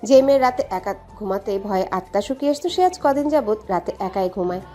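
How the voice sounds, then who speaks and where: A woman's voice: mid-range voice, lively intonation, fast, mellow, faint music behind. A woman reading a scripted dramatic story as its narrator.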